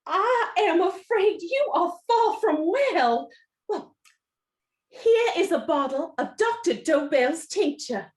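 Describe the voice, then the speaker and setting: Actress as a high, animated radio-play mother. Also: slow pace, exaggerated voice. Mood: concerned. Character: composed, practical mother and wife of the house.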